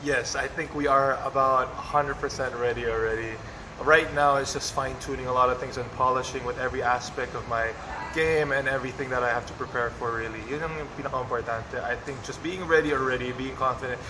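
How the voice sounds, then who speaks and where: A man's voice: mid-range voice, steady tone, brisk, noisy background. Earnest young man with an Americanized accent. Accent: American-influenced English. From Philippines. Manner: earnest, mixes in Tagalog phrases, frequent "you know". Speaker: pageant contestant. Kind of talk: interview answers.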